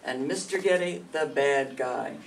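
An older woman playing a brisk country doctor and narrating a 1940s radio mystery. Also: rumbling low. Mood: composed. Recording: distant hall mic, faint noise.

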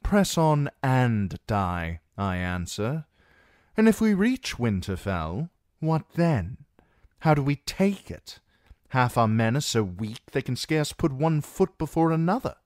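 A man's voice: medium pitch, big pitch swings, takes it slowly, darkish tone, no room sound, clean studio sound, voice pushed up. A man voicing a weary, pragmatic young knight who argues with sardonic reasoning. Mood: arguing.

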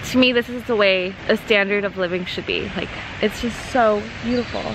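A woman's voice: medium pitch, brisk, noisy background. A young woman, congested and tired from a cold, chatting casually to a handheld camera as she walks around a city. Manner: chatty, self-deprecating. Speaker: vlogger. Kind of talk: casual travel vlog. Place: outdoors in city streets and gardens. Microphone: handheld camera at arm's length.

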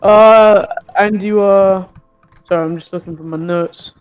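Man playing halting Wild West sheriff, muffled audio. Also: high-pitched, fairly even intonation, clear, resonant tone. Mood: concerned, hesitant. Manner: hesitant, halting, many pauses. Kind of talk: improvised Minecraft roleplay. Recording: dull muffled audio with guitar music behind.

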